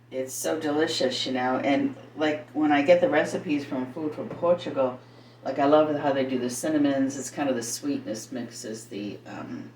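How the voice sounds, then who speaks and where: Middle aged lady w a really low voice rambling thru a cooking demo, echoey room. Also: darkish tone. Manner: rambling, thinking aloud. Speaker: home cooking vlogger. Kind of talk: casual cooking demonstration, talking to camera. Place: home kitchen, indoors. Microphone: camera set a little away. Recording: roomy, distant mic, faint noise, kitchen clatter.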